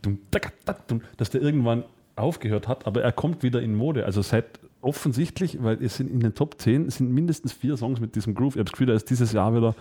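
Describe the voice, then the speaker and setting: Husky guy on a podcast, dry teasing, keeps things moving. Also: medium pitch, fast, mellow. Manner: teasing, steers the talk, dry jokes. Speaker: podcast co-host. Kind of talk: casual chat.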